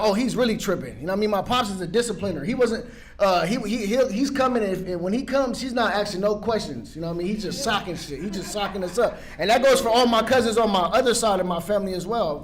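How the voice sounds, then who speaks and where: A young man from San Pedro, California, telling his recovery story at a meeting in a casual, rambling way with many restarts. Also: sits high.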